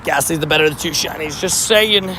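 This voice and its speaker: A man live-streaming outdoors, chatting casually with viewers and answering their chat messages, with a slightly hoarse voice. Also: on the high side, quick pace, background noise. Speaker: livestream host. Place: outdoors by a street with traffic.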